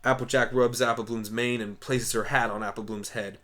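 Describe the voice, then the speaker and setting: A man's voice: mid-range voice, fairly even intonation, normal speed, fairly bright tone. A man reading scripted stage narration for an amateur radio play. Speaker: narrator and voice performer.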